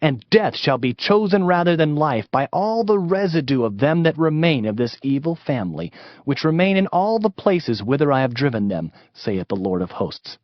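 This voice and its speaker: A man's voice: on the high side, sing-song, moderate pace, no room sound. A man narrating a dramatized Bible reading in a solemn, emphatic, declamatory manner. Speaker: scripture narrator. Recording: slightly muffled, music underneath.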